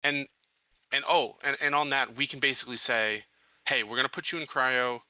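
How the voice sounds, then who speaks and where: A man's voice: mid-range voice, steady tone, a little fast, sharp tone, no room sound, rough recording. A man talking through plans as a tabletop roleplaying player, casual and thinking aloud. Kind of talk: casual in-game planning discussion.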